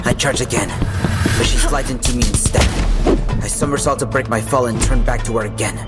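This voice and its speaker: A man's voice: mid-range voice, a little fast, lightly acted. A man acting in an audio drama as a young, casual and cocky fighter who narrates his own story in a wry way. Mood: focused. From Philippines. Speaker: voice actor narrating in character. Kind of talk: audio drama dialogue and first-person narration. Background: background music.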